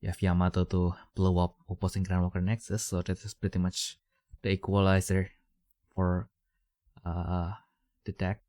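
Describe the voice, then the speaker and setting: A man's voice: deep voice, monotone, moderate pace, long pauses, mellow, dry recording, clean studio sound. A young man, hosting a podcast in casual, rambling chat full of fillers and restarts. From Indonesia. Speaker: podcast host.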